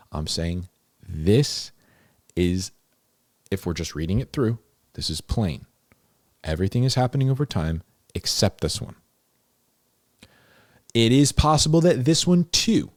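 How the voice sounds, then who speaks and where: A man with a low-pitched voice, recorded close and dry. He teaches scripture off the cuff in an informal, conversational style. He leans on key phrases and repeats them for emphasis. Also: no echo. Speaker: host of a Bible-explainer series, teacher. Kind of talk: informal, unscripted Bible teaching.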